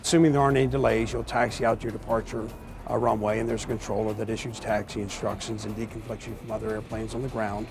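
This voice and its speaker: A man's voice: lowish voice, flat delivery, fast, over music. A man giving explanatory interview answers as an expert, in technical and formal wording. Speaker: air traffic expert being interviewed.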